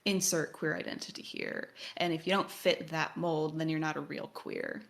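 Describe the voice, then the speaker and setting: A woman's voice: sits low, fairly even intonation, normal speed, creaky. A woman co-hosting a podcast, giving casual analysis in an emphatic, wry way and acting out imagined quotes. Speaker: podcast co-host.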